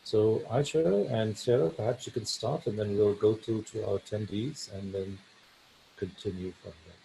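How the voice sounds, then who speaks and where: A man's voice: fairly low pitch, normal speed, gravelly, dark tone, poor audio. A man hosting a webinar over a video call, speaking hesitantly with many fillers. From South Africa. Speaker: webinar host, family physician.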